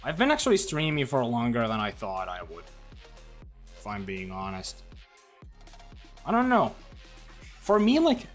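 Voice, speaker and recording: A man's voice: mid-range voice, huge pitch swings, measured pace, long pauses, faint music behind. A man live-streaming a game, rambling casually with lots of fillers and restarts, his throat a little dry. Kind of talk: casual livestream chat while gaming.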